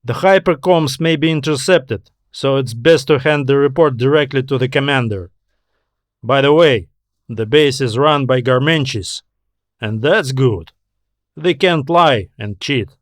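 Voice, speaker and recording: A man's voice: medium pitch, measured pace, dry recording, studio quality, lightly acted. A man voicing a level-headed male space pilot in an audio sci-fi story, persuasive and a little exasperated. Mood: matter-of-fact.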